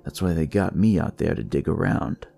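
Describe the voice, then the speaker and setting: A man playing a jaded, crude police detective, narrating his noir story in a wry, world-weary, conversational way with a gravelly, husky voice. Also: deep voice, moderate pace, warm tone, slight background noise. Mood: wry, confiding. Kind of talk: noir first-person scripted narration.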